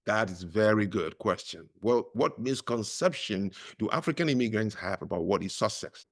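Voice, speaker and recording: A man's voice: fairly low pitch, gravelly. A middle-aged man speaking as a podcast guest, telling stories and making points with emphasis. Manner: emphatic, animated storyteller. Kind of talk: conversational interview.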